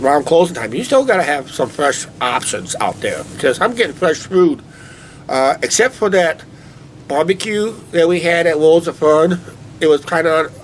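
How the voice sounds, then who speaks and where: A congested man talks in a loose, meandering way, padding his thoughts with fillers as he sips a beer. His voice sits at an ordinary middle pitch. A faint hum of outdoor noise and distant traffic runs behind him. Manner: meandering, filler-heavy, "uh, basically" talk. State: stuffy blocked nose. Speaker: co-host of a vlog channel. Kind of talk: casual rambling chat over a beer. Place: outdoors at night near a shed. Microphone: camera on a tripod.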